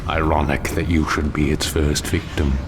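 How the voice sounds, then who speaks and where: An actor playing a brooding, vengeful, coldly arrogant elven betrayer in a fantasy game, measured and menacing. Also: male voice, very deep voice, little pitch movement, noisy background. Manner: slow, menacing, measured, condescending. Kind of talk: dramatic fantasy game voice acting.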